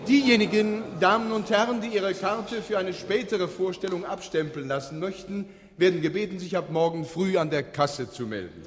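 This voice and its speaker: An actor playing a formal concert hall official making a stiff, bureaucratic public announcement in a radio play. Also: male voice, high-pitched, normal speed, hardly any pauses, very noisy, lightly acted. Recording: long echoing hall reverb.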